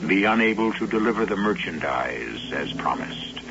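Gravelly radio drama host, wry and measured, dull broadcast sound with music. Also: male voice, low voice, very animated, background noise. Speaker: host narrator.

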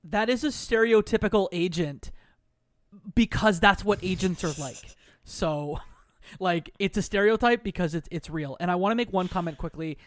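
A man's voice: sits high, normal speed, dry recording. Male podcast guest, conversational, emphatic explainer, dry sound, informal game discussion. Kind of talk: casual video game discussion.

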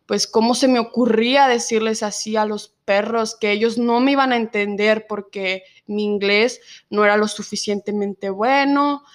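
A nineteen-year-old woman from Mexico with a Mexican Spanish accent, telling her own story in an interview and pausing often on fillers. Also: mid-range voice, steady tone. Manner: reflective, many filler pauses. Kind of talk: personal testimony in an interview.

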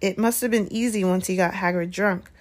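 An earnest, headstrong storybook schoolboy, as a woman reads him. He talks in an urgent, insistent way, a touch louder and higher, pressing his point. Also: fairly even intonation, a little fast, natural voice.

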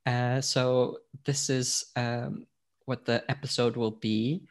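A man from Portugal, speaking at an ordinary middle pitch. He talks in a casual podcast style that is hesitant and rambling, full of "um"s and restarts. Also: level tone, slow. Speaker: podcast host, now interviewee.

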